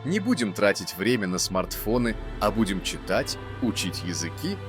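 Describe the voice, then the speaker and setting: A man's voice: fairly low pitch, big pitch swings, moderate pace. A man in scripted narration, addressing children in an instructive, educational way. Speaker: narrator giving the moral. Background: music.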